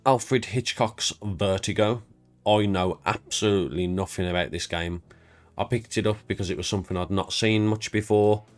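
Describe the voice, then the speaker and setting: A man with a low voice talks in a laid-back, rambling way, his voice audibly stuffed up and congested. He sounds easygoing and a little self-deprecating. Soft music plays faintly underneath. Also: normal speed. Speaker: YouTube creator. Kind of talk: casual game collection walkthrough.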